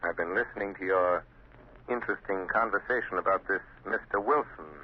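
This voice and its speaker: Man voicing an invisible avenger in an old radio play: calm, low, taunting. Dull vintage audio, faint hiss. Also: brisk, close to an everyday voice. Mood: cool menace. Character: invisible crime fighter, calm, menacing man. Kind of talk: 1940s radio acting.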